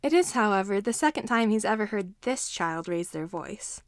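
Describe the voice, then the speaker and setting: Female story narrator, mid-pitched, dry sound. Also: expressive, moderate pace, no room sound. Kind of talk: scripted story narration of fan fiction.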